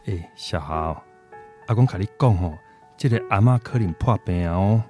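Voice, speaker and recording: A man's voice: low-pitched, normal speed, a little mellow, soft background music, close to an everyday voice. A man with a Taiwanese accent acting a kindly, hospitable old shopkeeper grandfather in a radio drama. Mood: reflective.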